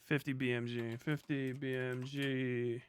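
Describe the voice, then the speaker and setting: A man livestreaming casually, muttering figures as he reads and thinking aloud in halting bursts with plenty of swearing. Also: mid-range voice, flat delivery, clear, resonant tone. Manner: swears freely. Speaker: live streamer.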